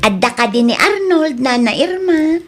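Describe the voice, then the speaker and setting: A woman's voice: high voice, lively intonation, moderate pace, over music. A woman with an Ilocano accent acting a giddy young woman who is smitten with her neighbor, talking in a playful, teasing way. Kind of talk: radio drama acting.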